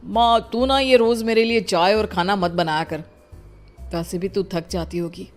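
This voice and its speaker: A woman's voice: mid-range voice, steady tone, unhurried, soft background music, close to an everyday voice. A woman voicing a discontented, dismissive adult son and husband in a cartoon story, curt and complaining.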